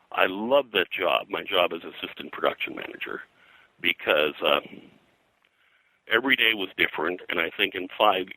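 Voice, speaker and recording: An older man with a very low-pitched voice and a hard, bright edge, recorded dry and close. He reminisces in a casual interview in a rambling way, often halting, restarting and repeating phrases. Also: vocal fry, no echo, low-quality recording. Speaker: interview guest, veteran comics production artist.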